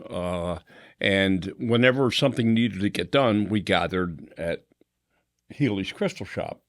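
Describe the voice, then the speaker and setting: A man with a lowish voice who talks slowly and stammers a little as he gets going. He recalls the club's early meeting place in a relaxed chat. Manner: slow, stammering start. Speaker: club member. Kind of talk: casual group chat.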